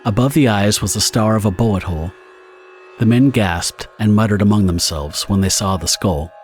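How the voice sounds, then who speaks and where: Guy reading a dramatic story, lowish voice, measured and theatrical, bit of music under it. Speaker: narrator. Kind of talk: dramatic scripted story narration.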